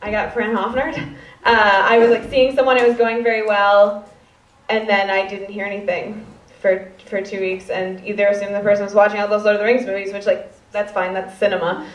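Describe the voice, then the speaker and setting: A woman's voice: mid-range voice, little pitch movement, fairly quick. Rambling young Chicago woman, distant, roomy. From Chicago, US Midwest. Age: 20s. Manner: rambling, filler-heavy, self-deprecating. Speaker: stand-up comedian. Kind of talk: stand-up comedy set. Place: stage in a hall. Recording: roomy, distant, dull-sounding live recording.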